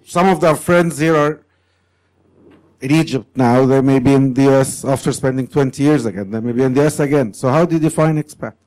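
A man's voice: medium pitch, fairly quick, a little mellow, rough recording. A man pressing a question in a group discussion, insistent and questioning. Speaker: meeting participant.

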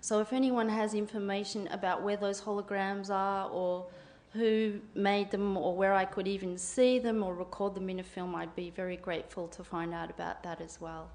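A woman reading out an academic paper at a conference in a formal, measured way, then answering questions with effusive thanks. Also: mid-range voice, flat delivery, darkish tone. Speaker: conference speaker.

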